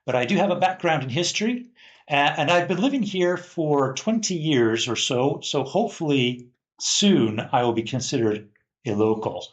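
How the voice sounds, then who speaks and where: A man's voice: on the high side, lively intonation, moderate pace. A man from the US Pacific Northwest hosting a podcast and introducing his colleagues. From Pacific Northwest, United States. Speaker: podcast host.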